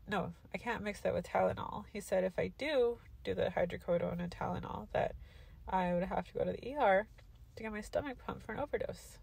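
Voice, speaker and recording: A woman's voice: mid-range voice, creaky, a little mellow, a little hiss or hum. A young woman talking into her phone in a parked car, nasal and congested after sinus surgery, loopy and drowsy from medication. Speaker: vlogger. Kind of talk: casual recovery vlog. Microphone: phone at arm's length.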